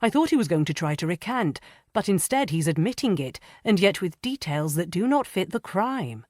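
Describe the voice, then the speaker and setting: A woman's voice: fairly low pitch, sing-song, a little mellow, no room sound. A woman voicing a dry, composed young marquis. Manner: dry, measured.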